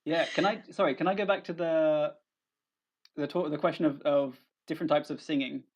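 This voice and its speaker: A man's voice: fairly high pitch, steady tone, moderate pace. A young man with a southern English accent, a researcher guest talking casually, often restarting his sentences in a modest, hesitant way. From south of England. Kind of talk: casual conversation. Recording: dull lo-fi audio.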